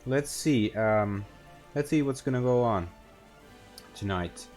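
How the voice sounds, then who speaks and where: A young man with an Estonian accent, casually talking through a game stream in a halting way, full of fillers and restarts. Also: medium pitch, animated, a little mellow, faint music behind. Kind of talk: casual gameplay stream commentary.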